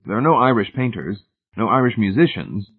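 A man's voice: on the low side, animated, brisk, breathy edge, narrow, tinny audio, voice pushed up. A man voicing a sardonic, casually cruel husband in an audiobook, dry and mocking. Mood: needling. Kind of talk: audiobook character voice.

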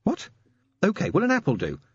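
Guy doing a bossy irritable lady werewolf cop, pitched up, giving orders, audiobook style. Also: wildly swooping pitch, brisk, breathy edge, no room sound, lo-fi sound, heavily acted. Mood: exasperated. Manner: snappish, commanding. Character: irritable, bossy female werewolf police sergeant. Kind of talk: audiobook character acting.

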